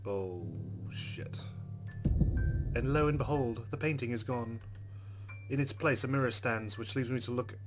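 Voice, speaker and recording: A man's voice: mid-range voice, unhurried, long pauses. A man playing a visual novel, reading its narration aloud and adding casual commentary. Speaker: let's player. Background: faint music.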